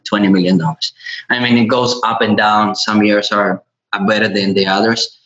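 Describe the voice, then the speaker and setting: A man talks as an interview guest. He speaks haltingly, doubling back on his words. The recording is slightly dull and lo-fi. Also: lowish voice, fairly bright tone. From Latvia. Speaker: podcast guest, e-commerce entrepreneur. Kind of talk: conversational interview answers. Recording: dull, lo-fi audio.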